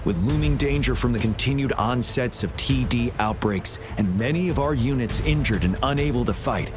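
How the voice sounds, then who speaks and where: A man acting as a game's army general, formal and measured, with music playing behind him. He speaks with steady authority and composure, as if briefing troops. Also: medium pitch, close to an everyday voice. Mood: composed, grave. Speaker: military commander. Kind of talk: scripted video game dialogue.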